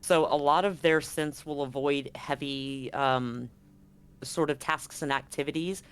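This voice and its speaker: A man's voice: a little high, fairly even intonation, unhurried, a bit bright, clear, resonant tone. A man acting as game master, narrating and chatting in an easygoing, informal way during a live tabletop game.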